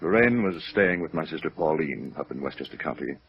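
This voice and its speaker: An actor playing a genteel, evasive company president in a 1950s radio drama, formal and hesitant, on a vintage recording. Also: male voice, very deep voice, lively intonation, brisk, slightly rough, lo-fi sound, heavily acted. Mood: wistful, hesitant. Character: genteel, evasive, wistful male brokerage president.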